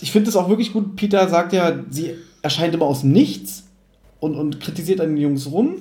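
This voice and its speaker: Guy cohosting a podcast, highish voice, roomy echo, animated, does silly quote voices. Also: fairly quick. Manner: animated, acts out mock quotes in put-on voices. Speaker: podcast co-host. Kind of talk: casual chat reviewing an audio drama.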